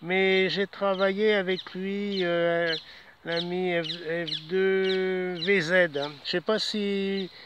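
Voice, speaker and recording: A man in his seventies chatting casually over amateur radio with call signs, in a halting, courteous way. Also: sits high, flat delivery, background noise. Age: 70s. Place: outdoors in a city park. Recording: dull lo-fi audio.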